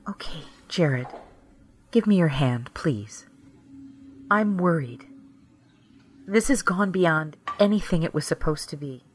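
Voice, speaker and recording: An actress playing a worried, exasperated partner in an audio drama, pleading intensely in a breathy, husky voice. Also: female voice, low-pitched, lively intonation, slow, long pauses, slight background noise. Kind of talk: emotional audio drama acting.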